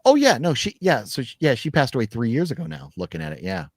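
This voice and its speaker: A man in his fifties hosts a loose livestream chat, reading out viewer comments as he goes. He rambles, swears freely and laughs often, with an average-pitched voice. The sound is dry and close, with no room echo. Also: wildly swooping pitch, fast talker. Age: 50s. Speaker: podcast host.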